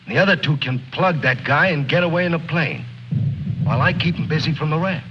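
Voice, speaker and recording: A man's voice: on the high side, a little fast, natural voice. An actor playing a bitter, restless soldier in his thirties, brooding and sardonic, in an old film drama. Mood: insistent, mocking. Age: 30s. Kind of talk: mid-century American film drama acting. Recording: muffled, hissy old film soundtrack.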